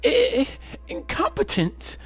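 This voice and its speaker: A man voicing a nervous, flustered prosecutor in a courtroom game, halting and stammering anxiously. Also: very high voice, huge pitch swings, soft background music, pitched above the performer's own voice, exaggerated voice. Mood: panicked.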